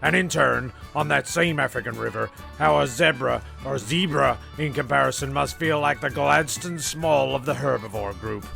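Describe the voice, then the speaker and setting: A man's voice: mid-range voice, moderate pace, creaky, few pauses, sharp tone, soft background music. A man narrating a comedy show, rambling and stammering through his own asides. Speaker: narrator and creator. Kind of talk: comic narration.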